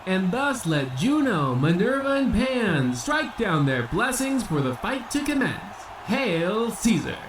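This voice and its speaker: A man's voice: high voice, very animated, takes it slowly, few pauses, background noise, heavily acted. A man playing a grandiose boxing ring announcer obsessed with ancient Rome, in an old-time radio comedy style. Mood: grand, ceremonial. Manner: grandiose, theatrical showman delivery.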